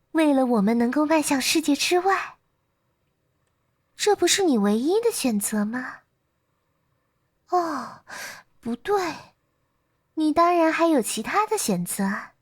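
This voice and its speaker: A woman's voice: high-pitched, no echo, studio quality. A woman voicing a coaxing, teasing, self-aware young female character in a visual novel game. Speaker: game voice actress. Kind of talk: scripted visual novel dialogue.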